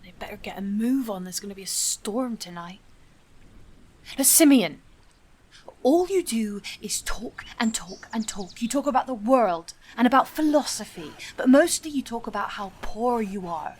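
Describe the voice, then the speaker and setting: A woman's voice: a little high, lively intonation, normal speed, darkish tone. An actress playing a gloomy, dismissive young woman in an audio drama, blunt and world-weary, with faint noise on the recording. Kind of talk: naturalistic audio drama acting.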